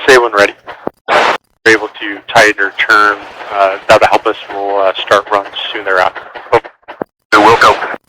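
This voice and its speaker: A man's voice: fairly low pitch, level tone, moderate pace, long pauses, bright, forceful tone. Clipped male mission controller on noisy radio. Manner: clipped, procedural phrasing. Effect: radio transmission. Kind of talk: procedural military radio traffic. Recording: noisy military air-band radio. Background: radio static and clicks.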